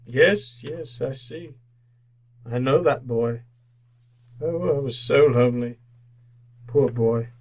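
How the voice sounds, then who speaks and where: An actor playing an old miser shaken by remorse and wistful joy, in old-time radio drama style, speaking haltingly and repeating words. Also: male voice, medium pitch, a little mellow. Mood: wistful, regretful.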